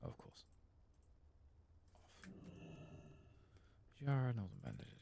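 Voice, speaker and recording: A man giving soft-spoken, partly whispered ASMR commentary on a video game, muttering hesitantly as he thinks aloud. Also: mid-range voice, very animated, takes it slowly, creaky, a little hiss or hum. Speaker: host of a video game playthrough channel.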